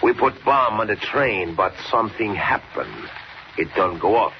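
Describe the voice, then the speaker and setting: An actor playing a threatening Western gang boss with a foreign accent and broken English, in a 1940s radio drama style. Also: male voice, fairly low pitch, very animated, gravelly, exaggerated voice. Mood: cold menace. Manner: slow, deliberate, threatening. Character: menacing Western outlaw gang boss, adult man. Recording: dull, noisy old radio recording.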